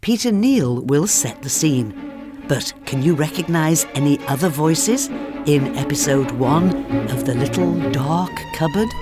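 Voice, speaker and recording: Lively, swooping woman radio presenter. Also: low voice, a little slow, over music. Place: dry studio.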